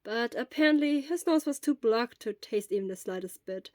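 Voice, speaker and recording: A woman narrates a story from a script. Her voice sits on the high side, and the recording is dry, with very little room sound. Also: lively intonation, normal speed, darkish tone, no room sound. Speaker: podfic narrator.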